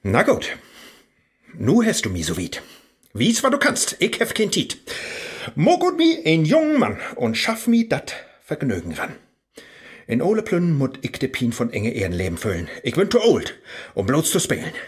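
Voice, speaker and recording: Radio play: an impatient, grumbling old scholar, husky and high. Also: male voice, wildly swooping pitch, moderate pace, exaggerated voice. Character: weary old male scholar craving youth and fun. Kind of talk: rhymed radio play acting.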